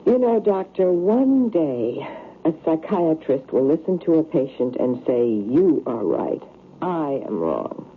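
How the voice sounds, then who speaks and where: An actress playing a troubled, insistent psychiatrist in an old radio drama, speaking earnestly and precisely. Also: female voice, deep voice, very animated, slow, exaggerated voice. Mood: defensive, weary. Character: troubled, insistent female psychiatrist haunted by fatal dreams. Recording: narrow, noisy vintage radio broadcast.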